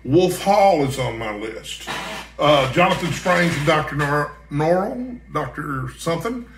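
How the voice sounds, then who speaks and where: A man's voice: on the high side, animated, unhurried, background noise. An American man chatting casually as a book vlogger, in a folksy, rambling way. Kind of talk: casual chat to camera. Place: indoor room at home. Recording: echoey, roomy sound.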